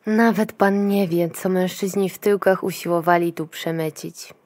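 An actress playing a brusque, officious clinic attendant in a dystopian future audio drama, clinical and commanding. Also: female voice, on the low side, steady tone, moderate pace, darkish tone, no echo, lightly acted. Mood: clinical, brisk.

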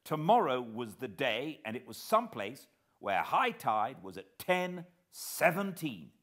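A man acting excitable British hero, raised pitch, exclamatory, swooping, storybook spy thriller. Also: unhurried. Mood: excited. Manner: emphatic, exclamatory.

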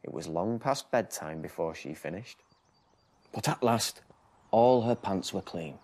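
A British man in his thirties reading a children's bedtime story in a gentle, deliberate, expressive storytelling style. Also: low voice. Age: 30s. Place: TV studio set.

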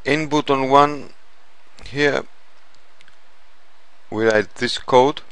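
A man narrating an informal software tutorial in a halting, step-by-step way. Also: mid-range voice, clear, resonant tone, no room sound, low-quality recording. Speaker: programming tutorial presenter.

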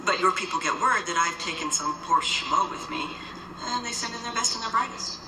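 A woman's voice: mid-range voice, lively intonation, a little fast, background noise, natural voice. Woman playing a cocky monster hunter, breezy and mocking, sounds far off in an echoey room. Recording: echoey room, heard from a distance.

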